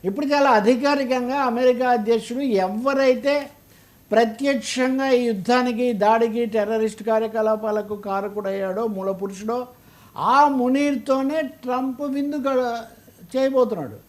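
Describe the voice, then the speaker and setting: A man with a very high-pitched voice and a Telugu accent delivers political commentary. He is emphatic and argumentative, often pressing his points with rhetorical questions. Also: fairly even intonation, moderate pace.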